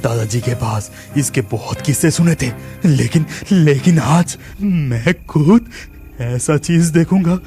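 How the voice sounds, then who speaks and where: An actor playing a brave but anxious young village lad, thinking aloud dramatically in a cartoon horror story. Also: male voice, on the high side, takes it slowly, dark tone, soft background music. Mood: shocked, fearful.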